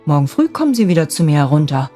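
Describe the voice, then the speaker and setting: Woman acting a low-voiced, awkward old bachelor. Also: very animated, fast talker, warm tone. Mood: brisk, softening. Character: gruff old bachelor man, awkward but softening. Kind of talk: storybook fairy tale character voice. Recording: music bed under the voice.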